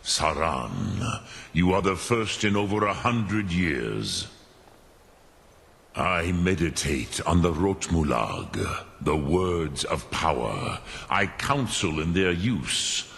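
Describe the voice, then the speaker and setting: An ancient, wise dragon teacher in a fantasy game. The voice is extremely deep and gravelly, unfolding very slowly in ponderous, contemplative musings. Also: male voice, very animated, a bit bright, full character voice. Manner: ponderous, musing, pausing, sprinkles in dragon-tongue words. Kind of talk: fantasy video game acting.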